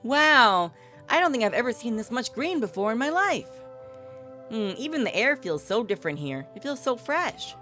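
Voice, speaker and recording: Woman playing a polite, wide-eyed young heroine, bright voice. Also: on the high side, sing-song, moderate pace, faint music behind, pitched above the performer's own voice. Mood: awed. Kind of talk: visual novel character read-aloud.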